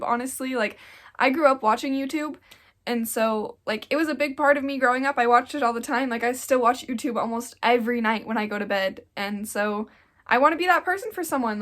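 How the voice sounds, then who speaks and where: A woman's voice: a little high, a bit bright. A young woman around twenty, a little congested from getting sick, talking earnestly in a casual sit-down vlog and rambling with lots of "like.". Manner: earnest, rambling, with frequent "like" fillers. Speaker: YouTuber.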